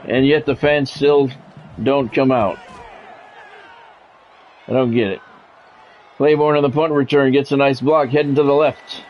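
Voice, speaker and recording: A man doing measured, broadcast-style football play-by-play over a video game, in clipped phrases with casual gamer asides. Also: medium pitch, steady tone, highs cut off, some chatter behind, slight background noise.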